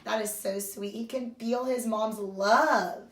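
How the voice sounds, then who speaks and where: A woman's voice: on the high side, steady tone, slow pace, a bit bright. A woman teacher doing a read-aloud lesson for young children, explaining simply and addressing them directly. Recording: roomy echo.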